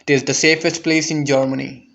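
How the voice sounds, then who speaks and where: A man's voice: fairly high pitch, little pitch movement, normal speed, creaky, a bit bright, muffled recording. A man voicing a callous, pompous bishop in a dramatic recital of a poem. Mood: resolute. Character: callous wicked bishop, smug and cruel.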